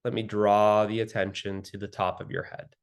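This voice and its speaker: A man leading a guided meditation as the guide, speaking gently and soothingly with long pauses between phrases. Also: lowish voice, little pitch movement, smooth.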